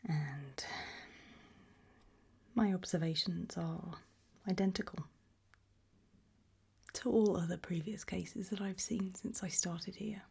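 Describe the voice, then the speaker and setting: A British woman doing an ASMR roleplay as a doctor, whispering gently and breathily, with hesitant pauses. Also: lowish voice, creaky, husky.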